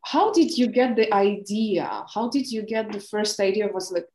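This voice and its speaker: A woman's voice: medium pitch, moderate pace, a little mellow, echoey room. A woman host with a Ukrainian accent, a native Ukrainian speaker, asking interview questions and searching for words as she clarifies. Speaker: podcast host.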